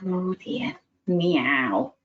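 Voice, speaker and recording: A clever little ship's cat, voiced by a woman, gives high, plaintive meows. Her cries swoop about with a lively storybook bounce. The sound is a little dull and lo-fi. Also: very slow. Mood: unimpressed. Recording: dull lo-fi recording.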